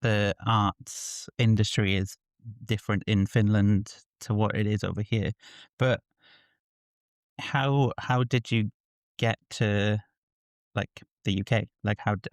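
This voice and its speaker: A man hosts a podcast and asks his guest questions in a loose, halting way. His voice is smooth and resonant, pitched a bit low. The sound is dry and close to the mic. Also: little pitch movement, no room sound. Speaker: podcast host. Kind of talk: podcast interview.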